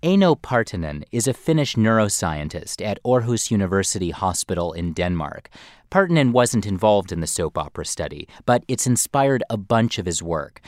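A man narrating a science feature in a scripted, clear and explanatory way. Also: fairly low pitch, a little mellow, no room sound, clean studio sound.

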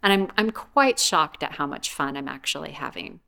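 A woman's voice: low voice, expressive, normal speed, vocal fry, pushed and bright. A woman being interviewed, talking reflectively and casually about her own experience. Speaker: interviewee, language learner.

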